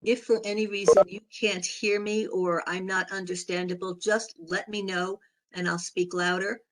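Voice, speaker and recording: A woman chairs a public board meeting in a hoarse, raspy voice. She moves through the agenda haltingly and fills her pauses with ums. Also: medium pitch, little pitch movement, fairly bright tone, poor audio. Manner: halting, with many fillers.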